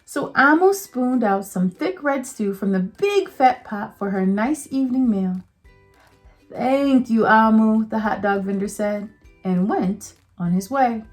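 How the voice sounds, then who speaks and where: A woman teacher reading a picture book aloud to children, slow and expressive. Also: medium pitch, soft background music.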